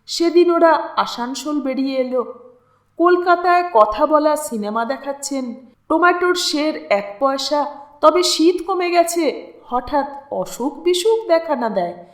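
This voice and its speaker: A woman narrating a literary story in an expressive, deliberate, dramatic way with lingering pauses. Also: high voice. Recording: roomy, echoing sound.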